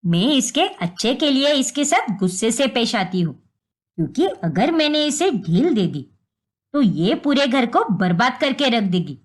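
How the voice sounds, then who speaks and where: महिला की आवाज़ में कड़क, डाँटने वाली बुज़ुर्ग सास. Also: female voice, on the high side, sing-song, measured pace. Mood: angry, accusing. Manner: bossy, sharp-tongued, scolding. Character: scolding older mother-in-law, stern and suspicious.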